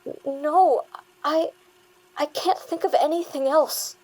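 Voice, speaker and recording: A woman's voice: sits high, steady tone, takes it slowly, darkish tone, rough recording. A woman playing an anxious, wary young therapy patient in an audio drama, earnest and hesitant in manner. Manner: hesitant, earnest, stammering under pressure.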